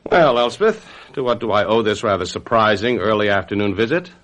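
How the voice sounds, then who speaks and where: A man's voice: on the low side, expressive, a little fast, fairly bright tone. An actor in a radio drama playing a vain, theatrical man who is smug and easily indignant. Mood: smug, teasing. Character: vain, defensive male stage actor living off wife.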